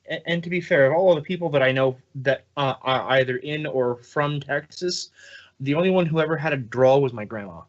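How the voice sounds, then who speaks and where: A man's voice: mid-range voice, brisk, lo-fi sound. A man from the American Northwest with an American accent, co-hosting a podcast in playful, joking casual chat. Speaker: podcast co-host.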